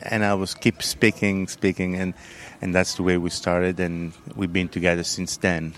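A man's voice: low voice, level tone, a bit bright. A man from southern Italy with an Italian accent, a chef telling his story in a casual interview, with many fillers and restarts. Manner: halting, many fillers and restarts, loose grammar.

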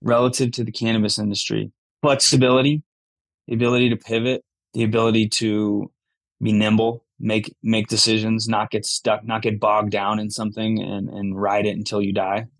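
A man's voice: fairly low pitch, flat delivery, normal speed, no room sound. A man giving candid, conversational answers as a podcast guest, talking bluntly and swearing casually. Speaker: podcast guest, cannabis entrepreneur.

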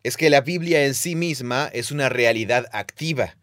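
A man's voice: medium pitch, moderate pace, a bit bright, dry recording, studio quality. A man co-hosting a podcast, explaining scripture in conversation and quoting passages aloud. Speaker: podcast co-host, Bible teacher. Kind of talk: conversational teaching.

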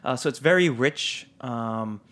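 Relaxed articulate man at a middle pitch. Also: unhurried. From Japan. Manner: articulate, relaxed, laughs easily. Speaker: guest, food blogger. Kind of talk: conversational interview.

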